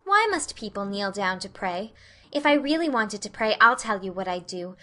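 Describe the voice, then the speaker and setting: A woman's voice: mid-range voice, sing-song, normal speed, bright, forceful tone. Woman reading as a dreamy, chatty young girl. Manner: eager, earnest, rambling. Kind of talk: audiobook character reading.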